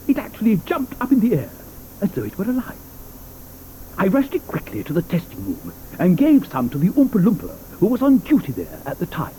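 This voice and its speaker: A whimsical, eccentric chocolate inventor in a studio audio drama, his voice pitched high and swooping widely, yet mellow in tone. He hesitates with little "uh"s, then spins a tale full of wonder. Soft music sits underneath. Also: a little hiss or hum, poor audio. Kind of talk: 1980s audio drama acting.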